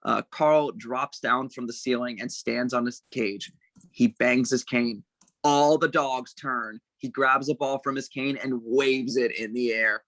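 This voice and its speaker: A man's voice: mid-range voice, fairly even intonation, unhurried, a bit bright, no echo, low-quality recording. Man narrating stage directions, dry sound. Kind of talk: scripted narration at a table read.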